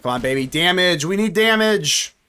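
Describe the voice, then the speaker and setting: A man's voice: sits high, normal speed, smooth. A young man giving casual live commentary on a card game, joking and talking to himself and his chat. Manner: animated, talks to himself and chat, jokey. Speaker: gaming streamer.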